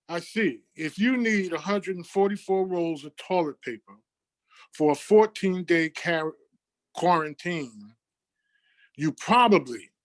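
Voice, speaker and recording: Guy acting sarcastic shopper, slow wry delivery, bit high for a man, really dry close sound. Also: a bit bright, no room sound. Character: sarcastic, unimpressed Costco shopper scolding a hoarder. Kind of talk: acted comic monologue.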